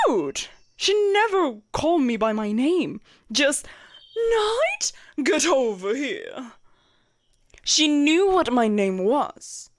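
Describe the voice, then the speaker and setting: A woman playing a jealous, protective royal knight in an intimate audio roleplay, expressive and blunt in manner. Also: high voice, no echo, clean studio sound, full character voice. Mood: indignant, bitter. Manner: expressive, blunt, sweary, teasing. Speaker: voice actor in a romantic audio roleplay.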